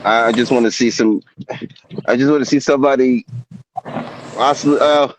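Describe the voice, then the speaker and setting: A man in his forties speaks as a guest in a relaxed fan interview, with a voice of ordinary pitch. He talks in a laid-back way and often stammers and starts his sentences over. A faint noise hums underneath. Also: moderate pace, low-quality recording. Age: 40s. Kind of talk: casual interview chat.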